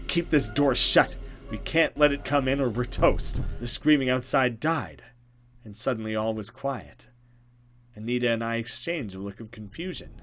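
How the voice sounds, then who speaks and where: A man hosting a let's play, reading a horror visual novel aloud with casual commentary. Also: mid-range voice.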